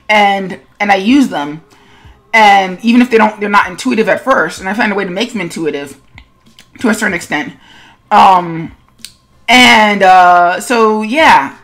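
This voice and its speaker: Woman artist chatting over her drawing video, average pitch, kinda rambly and unscripted, keeps backing up and fixing her words. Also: a bit bright. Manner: rambling, hesitant, self-correcting. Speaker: webcomic artist and video host. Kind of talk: casual unscripted speed-paint video commentary.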